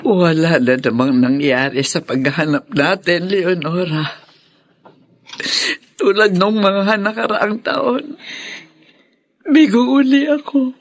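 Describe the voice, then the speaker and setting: An actress playing a distraught, devoted wife and mother in a Filipino radio drama, speaking with tearful, drawn-out emphasis. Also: fairly low pitch, dull audio. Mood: despairing.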